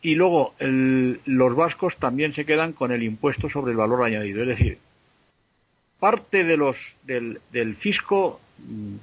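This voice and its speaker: A man with a Spanish accent from Spain, explaining things casually and hesitantly, with drawn-out pauses. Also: mid-range voice, fairly bright tone, breathy edge, highs cut off. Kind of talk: casual unscripted discussion.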